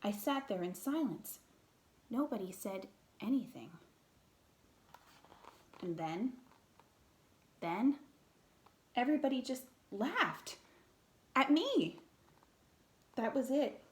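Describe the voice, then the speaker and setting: A woman reading a children's picture book aloud in an expressive, theatrical way, with dramatic pauses and emphasis. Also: on the high side, reverberant.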